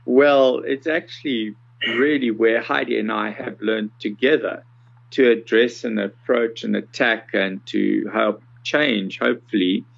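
A South African man in his sixties giving conversational, measured interview answers with some hesitation. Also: lowish voice, fairly even intonation, gravelly, muffled recording. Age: 60s. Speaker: podcast guest, accessibility consultant.

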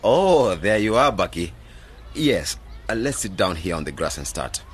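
A man's voice: deep voice, sing-song, measured pace, husky, full character voice. An actor playing an earnest, idealistic man in his twenties in a radio drama, eager and determined. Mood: thoughtful. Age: 20s. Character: idealistic twenty-nine-year-old man, determined village cooperative founder. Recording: faint background ambient noise.

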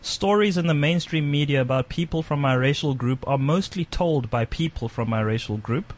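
A man, his voice sitting a little on the high side, hosts a radio talk show. He reads a checklist aloud briskly and chats with his co-hosts between the items.

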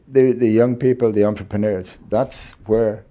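A man in his fifties from Ireland with an Irish accent, a bank managing director answering interview questions in a measured way, often restarting his phrases. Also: fairly low pitch, steady tone, mellow. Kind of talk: interview conversation.

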